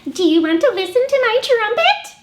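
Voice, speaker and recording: A woman voicing an excitable, eager cartoon pig in a picture-book read-aloud, exclamatory and insistent. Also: extremely high pitch, quick pace, voice pushed up. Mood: bossy, excited. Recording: echoey room with background noise.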